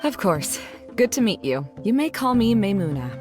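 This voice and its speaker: A woman voicing a poised, blunt scholar who speaks in a brisk, cool, businesslike manner, in a game cutscene. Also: medium pitch, very animated, over music, natural voice.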